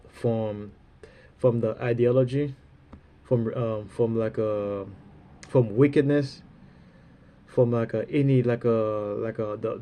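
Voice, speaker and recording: Mellow-voiced man rambling with a stuffy nose. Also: mid-range voice. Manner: halting, full of fillers, often ends phrases with "man". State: stuffy runny nose, coughing. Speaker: religious speaker. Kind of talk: rambling spiritual talk.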